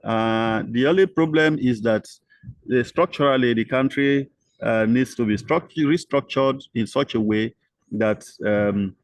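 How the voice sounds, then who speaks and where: An older man answering interview questions, slow and halting with many fillers and restarts. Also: mid-range voice. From Nigeria. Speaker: interview guest.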